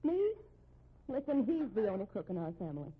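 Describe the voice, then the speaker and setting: An actress playing a shaken, anxious married woman who speaks haltingly in a 1950s radio drama. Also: mid-range voice, big pitch swings, slightly rough. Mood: distressed. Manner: halting, stammering delivery. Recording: tinny 1950s radio recording.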